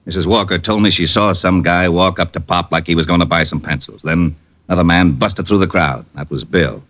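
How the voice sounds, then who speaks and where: Man playing a deep, grouchy police lieutenant. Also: brisk, natural voice. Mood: matter-of-fact. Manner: gruff, sarcastic. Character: grouchy, hot-tempered male police lieutenant. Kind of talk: 1950s radio drama acting. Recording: dull old radio recording.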